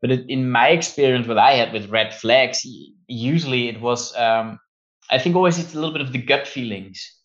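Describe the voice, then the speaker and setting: A man's voice: medium pitch, moderate pace, fairly bright tone, highs cut off. A man with a Dutch accent, a guest in a podcast interview, speaking English as a second language and searching for words with frequent repeats. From Netherlands. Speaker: physiotherapist guest. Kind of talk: conversational interview.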